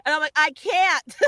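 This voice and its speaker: A woman hosting a casual, opinionated TV recap chat, emphatic and exasperated, often breaking into laughter. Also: very high voice. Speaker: host of a pop culture review show.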